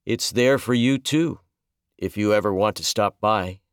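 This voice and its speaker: A man voicing a calm, gentle middle-aged reverend who speaks softly and consolingly. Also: fairly low pitch, smooth, no echo, studio quality, close to an everyday voice. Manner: soft-spoken, measured, consoling.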